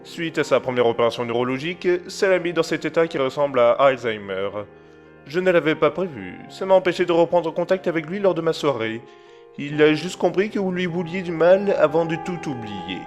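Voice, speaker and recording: A man as a calm, scheming crime boss; coldly formal, animated pitch, slightly high, music bed. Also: brisk, close to an everyday voice. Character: cold scheming male crime boss, middle-aged. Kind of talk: amateur audio drama acting. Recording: music underneath.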